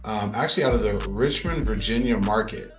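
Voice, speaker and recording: A man's voice: on the low side, a little fast. A man hosting an interview show in a casual, conversational way. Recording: roomy echo, faint music behind.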